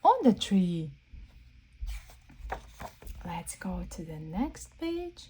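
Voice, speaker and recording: Children's storyteller: woman, mellow voice, very animated pitch, guiding small listeners through a picture book. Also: slight background noise. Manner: exaggerated wonder, slow questions to young listeners. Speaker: children's read-aloud storyteller. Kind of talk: interactive read-aloud for young children.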